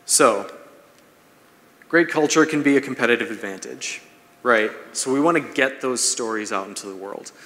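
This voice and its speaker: A man's voice: medium pitch, moderate pace, fairly bright tone. A man in his thirties giving a business seminar talk in a conversational, explanatory way, like a presenter walking an audience through advice. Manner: conversational, explanatory, checks in with "right?". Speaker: presenter, marketing speaker. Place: large brick-walled event room, indoors. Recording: echoey hall, long reverb.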